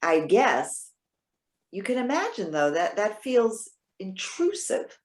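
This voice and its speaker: Proud, witty older woman played by an actress, stage-reading drama, articulate and dry, mid-pitched, echoing room. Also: big pitch swings, slow pace. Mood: wounded, sardonic. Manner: articulate, wry, pointed. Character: proud, witty elderly woman, retired social worker. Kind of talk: readers theater dialogue. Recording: roomy echo.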